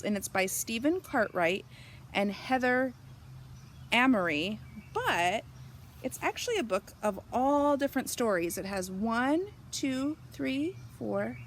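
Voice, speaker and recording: A woman's voice: fairly high pitch, slight background noise. A woman reading a picture book aloud for young children, explaining simply and expressively as she goes. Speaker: children's story reader.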